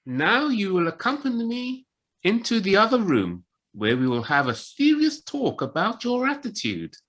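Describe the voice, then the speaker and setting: A woman voicing a gruff, bossy older badger who scolds with authority in an audio play. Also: medium pitch, very animated, moderate pace, a bit bright. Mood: scolding. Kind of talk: community audio play acting. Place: living room, recorded over video call.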